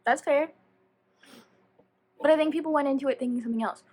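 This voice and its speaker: A woman giving a casual, chatty book review as a vlogger, animated and slangy, often rambling and interrupting herself. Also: high-pitched, brisk.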